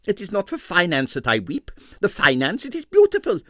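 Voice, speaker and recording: A man's voice: high-pitched, huge pitch swings, brisk, a bit bright, dry recording, clean studio sound, voice pushed up, exaggerated voice. A tragic, fretful impresario for an audiobook. His raised voice rises and falls dramatically as he laments theatrically in broken English. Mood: despairing. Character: gloomy, excitable bald Russian ballet impresario.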